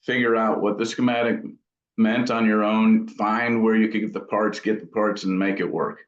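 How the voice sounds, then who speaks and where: Middle aged guy, lowish voice, chatting in an interview, thinks as he talks, bit muffled and roomy. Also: little pitch movement, normal speed. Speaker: guitar amp builder, interview guest. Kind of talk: casual interview answers, reminiscing. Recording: roomy, dull lo-fi sound.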